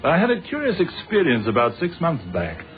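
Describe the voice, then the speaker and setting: Friendly ex-cop old pal, easygoing, animated pitch, boxy old radio drama recording. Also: male voice, brisk, faint music behind. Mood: reflective. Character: affable ex-policeman turned businessman, old friend. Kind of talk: British radio drama acting. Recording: muffled, narrow-band old radio recording.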